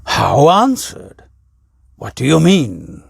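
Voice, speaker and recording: A man's voice: a little high, wildly swooping pitch, slow, vocal fry, slightly rough, no echo, clean studio sound, exaggerated voice. A man playing an earnest storybook king, pleading insistently for answers.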